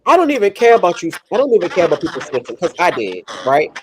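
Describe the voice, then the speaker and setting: A woman's voice: lowish voice, sing-song, fast, a crowd in the background. A woman in her forties, co-hosting a podcast, talking frankly and emphatically and repeating herself to make a point. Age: 40s. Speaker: podcast co-host. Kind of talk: casual chat.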